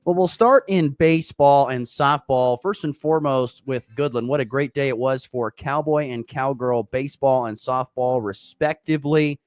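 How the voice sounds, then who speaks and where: A man hosting a local morning sports talk radio show, a bit hoarse from a sore throat, upbeat and rambling with many fillers. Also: medium pitch, moderate pace, hardly any pauses, no echo, clean studio sound.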